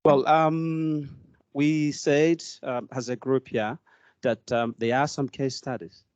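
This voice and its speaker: Mozambican portuguese accent guy talking at a workshop, halting, lots of ums, dull muffled mic. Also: mid-range voice, flat delivery, a little mellow, clean tone, dry recording. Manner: halting, many fillers, lists points. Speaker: workshop participant. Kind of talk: conference floor question.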